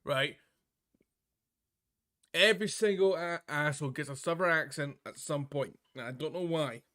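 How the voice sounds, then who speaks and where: A man's voice: a little high, moderate pace, pushed and bright. A man streaming a game with casual, crude, joking commentary. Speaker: streamer playing and voicing a visual novel.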